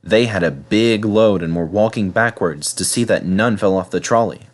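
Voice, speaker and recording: A man reading a children's story aloud in an animated, scripted narration style. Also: on the low side, moderate pace. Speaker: storybook reader.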